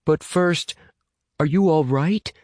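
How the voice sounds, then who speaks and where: Young tech geek guy, played by a male narrator, casual, direct, slightly high voice, for an audiobook. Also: slow, a little mellow, no room sound, studio quality. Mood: practical.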